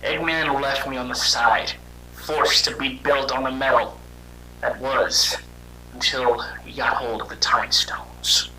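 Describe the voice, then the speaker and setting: Over clear background noise, a man plays a weary young male robot hedgehog in an animated fan drama. He talks conversationally and haltingly, like a dramatic storyteller, at a middling pitch. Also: low-quality recording. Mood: somber, reflective.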